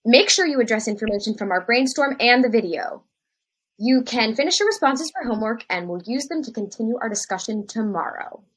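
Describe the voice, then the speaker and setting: A woman acts out a young female science teacher in an educational drama. Her voice is husky and swings up and down expressively. She stays patient and diplomatic as she instructs. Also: on the high side, expressive, brisk, low-quality recording. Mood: calm, instructive.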